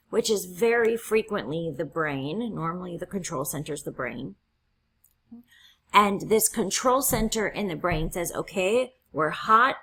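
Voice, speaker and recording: Woman, college instructor, husky gravelly voice, losing her voice, step-by-step lecture. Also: medium pitch, a bit bright. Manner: explains step by step, checking in with "right?". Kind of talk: classroom lecture.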